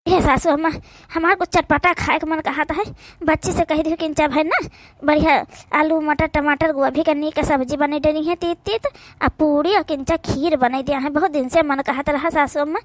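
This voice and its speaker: Very high-pitched Awadhi woman, dry sound. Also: steady tone, moderate pace, dry recording. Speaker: cartoon voice performer. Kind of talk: acted rural family drama for a cartoon.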